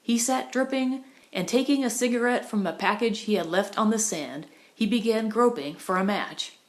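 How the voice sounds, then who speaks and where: A woman's voice: on the high side, normal speed. Woman narrating an audiobook. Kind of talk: scripted narration of a 1920s romantic comedy novel.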